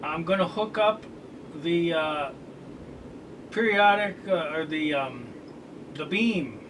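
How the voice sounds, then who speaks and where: A man's voice: a little high, slow. A middle-aged American man giving a casual product demonstration with folksy, colloquial phrasing. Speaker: radio scanner hobbyist and reviewer. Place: indoor room at home. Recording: distant camera mic, clearly noisy room. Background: radio scanner chatter, noise.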